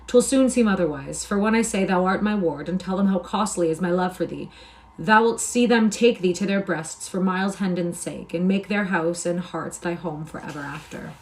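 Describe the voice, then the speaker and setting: A woman's voice: lowish voice, moderate pace, a little mellow, lightly acted, rough recording. A woman reading the part of an eager young soldier who has just come home, speaking in impassioned, old-fashioned English. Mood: joyful. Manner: impassioned, archaic speech.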